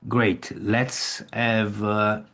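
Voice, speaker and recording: A man leading an online meeting, speaking haltingly with frequent restarts and non-native phrasing. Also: fairly low pitch, flat delivery, fairly bright tone. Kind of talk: casual discussion.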